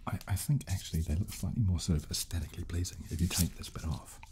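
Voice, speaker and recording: A man in his thirties hosting a soft ASMR chat close on a desk microphone, hushed, halting and polite. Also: low voice, steady tone, background noise. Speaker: host of an ASMR channel. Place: small furnished studio room, indoors. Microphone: close desk condenser microphone.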